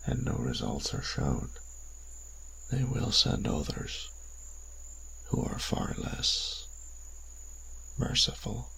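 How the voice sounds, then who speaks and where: A stern, cold vampire of the church for an audio drama. The voice is extremely low and full of gravelly fry, with a hard, forceful edge. The delivery is grave, formal and menacingly measured. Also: male voice, full character voice, poor audio. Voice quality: very deep, gravelly, rasping. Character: cold, stern male vampire church enforcer, old friend. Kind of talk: audio roleplay drama acting.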